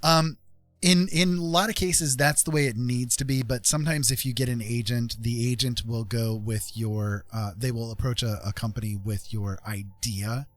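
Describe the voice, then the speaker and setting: A man's voice: mid-range voice, steady tone, moderate pace. A man chatting casually on a livestream, explaining things conversationally and often checking in with the listener. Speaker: streamer and self-published author.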